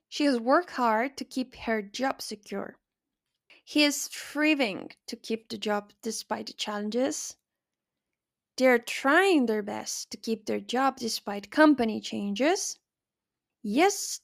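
A woman hosts an English lesson podcast, taking her time over each phrase. Her voice sits fairly high, and her delivery is careful and clear. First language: Spanish. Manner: careful, clear teaching delivery with example sentences. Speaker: podcast host and English teacher.

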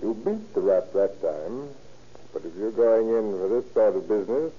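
An actor playing a patient, sardonic police officer who leans on an informant in a 1930s radio crime drama. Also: male voice, fairly low pitch, expressive, normal speed, dark tone, soft background music, lightly acted. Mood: sardonic, pressuring. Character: smooth-talking, needling police officer leaning on an informant. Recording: muffled 1930s radio recording.

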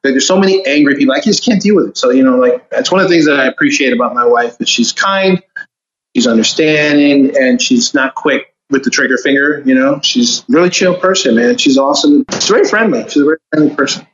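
A man's voice: medium pitch, lively intonation, fast, fairly bright tone, lo-fi sound, a little hiss or hum. A man in his forties, a guest on a casual podcast, talking candidly and in a laid-back way. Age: 40s.

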